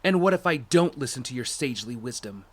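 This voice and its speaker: Husky, defiant young idealist. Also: male voice, medium pitch, lively intonation, brisk, a bit bright, no room sound. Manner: earnest, defiant. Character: defiant, idealistic young man among mercenaries. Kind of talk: audio drama acting.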